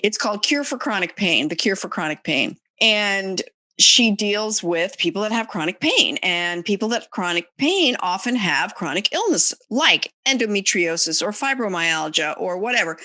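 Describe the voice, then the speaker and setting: A woman's voice: medium pitch, animated, moderate pace, bright, forceful tone, dry recording. A woman hosting a podcast, interviewing conversationally and repeating phrases for emphasis. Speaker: podcast host.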